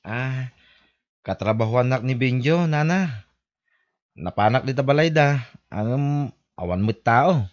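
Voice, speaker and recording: A man plays a deferential workmate in an amateur Filipino audio drama. He has a medium-pitched voice and a Filipino accent. The audio is somewhat dull and lo-fi. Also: expressive, a little slow, pauses often, lightly acted. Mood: polite, concerned. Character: deferential male co-worker calling at a family's house. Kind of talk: amateur Ilocano radio-style drama acting.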